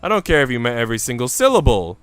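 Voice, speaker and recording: A man reads as a haughty young lady of the house in a visual novel. She is curt, sullen and scornful toward servants, with crisp, clean delivery in a dry, close sound. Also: medium pitch, big pitch swings, rapid-fire, a bit bright, no echo, faint music behind. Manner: curt, imperious, dismissive.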